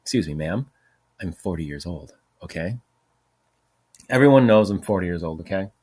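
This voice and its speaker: A man in his forties spins a long, wandering anecdote in a loose, chatty way. His voice sits a bit on the low side, and he keeps laughing at himself and cursing as he drifts off topic. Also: animated, normal speed, a little mellow, slightly rough. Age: 40s. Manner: chatty, profane, self-mocking, drifts off topic. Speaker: podcast host. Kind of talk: rambling casual storytelling.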